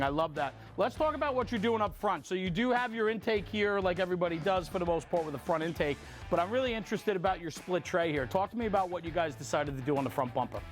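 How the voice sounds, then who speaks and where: An enthusiastic man hosts an on-site interview at a quick clip. His voice sits a little high for a man, and he recaps and affirms as he leads into questions. Faint music runs behind him. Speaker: interviewer and host. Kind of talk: on-site walkaround interview about a fire truck.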